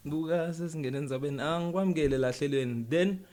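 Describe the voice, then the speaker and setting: A man's voice: a little high, fast, a little mellow. A man with a South African accent hosting a casual interview and switching between languages as he talks. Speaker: podcast interview host.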